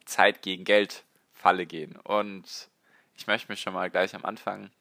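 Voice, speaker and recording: A man with a lowish voice that still comes across bright and forward, hosting a solo podcast with a slightly strained, hoarse edge. He talks to the listener directly and rambles casually. The sound is dry and close, with no room around it. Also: flat delivery, measured pace, dry recording. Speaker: podcast host.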